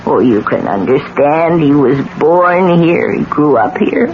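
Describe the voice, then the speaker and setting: Slow, weary older radio-drama woman. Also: deep voice, animated. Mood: resigned. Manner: halting, drawn-out, theatrical delivery. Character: weary, bitter older mother of grown son. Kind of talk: 1970s radio mystery drama acting. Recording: dull, faintly noisy 1970s radio recording.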